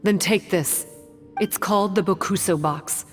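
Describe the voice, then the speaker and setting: A woman playing a composed, secretive guide in a video game, speaking in a measured, expository way. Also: medium pitch, close to an everyday voice. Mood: composed, businesslike. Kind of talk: scripted video game voice acting. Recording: music playing behind the voice. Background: game music.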